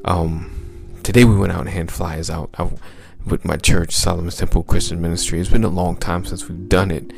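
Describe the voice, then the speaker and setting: A man hosting a motivational podcast as a mindset coach, with a smooth, gravelly low voice and upbeat, repetitive exhortations. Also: level tone, moderate pace, few pauses, soft background music.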